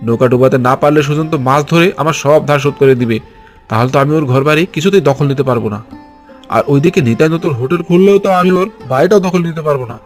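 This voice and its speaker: A man's voice: on the high side, racing, soft background music, lightly acted. A man voicing a greedy, bossy village moneylender in a cartoon, scheming and scolding. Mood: irritated, scolding. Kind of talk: Bengali cartoon voice acting.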